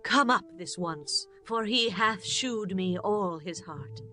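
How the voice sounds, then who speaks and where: An actress playing solemn, deliberate women of ancient Israel in a dramatized scripture reading, with music behind her. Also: mid-range voice, expressive, bright, forceful tone. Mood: urgent.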